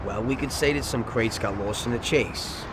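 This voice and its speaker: An actor playing an excitable young gangster who talks in street slang and breaks into sudden outbursts. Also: male voice, mid-range voice, moderate pace, close to an everyday voice. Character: excitable young male gangster, tempted by loot. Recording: game audio with street noise.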